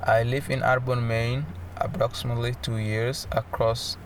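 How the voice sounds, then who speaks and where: A man's voice: mid-range voice, little pitch movement, moderate pace, slight background noise. A man in his twenties, from Somalia, earnestly telling his own story as an interviewee. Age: 20s. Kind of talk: personal testimony.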